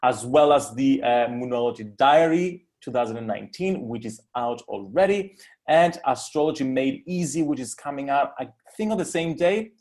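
Medium-pitched man hosting a casual interview. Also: animated, moderate pace, darkish tone. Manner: informal, conversational. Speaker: interviewer, host of live Q&A.

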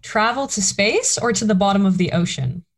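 A woman hosting an interview, asking brisk rapid-fire questions with friendly reactions. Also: mid-range voice, expressive. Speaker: host, interviewer. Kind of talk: casual interview chat.

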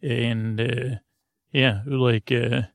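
A man with a very creaky, gravelly voice hosts a bedtime podcast. His pitch stays narrow and flat as he meanders haltingly, repeating himself and wandering into tangents. The sound is dry and close to the mic. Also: no room sound. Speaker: podcast host. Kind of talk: rambling bedtime sleep podcast monologue.

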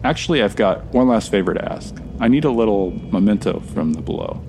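Man acting a creaky-voiced, earnest traveler. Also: lowish voice, animated, a little fast, darkish tone, slight background noise. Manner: courteous, sincere. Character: polite, earnest male traveler between worlds. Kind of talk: audio drama acting.

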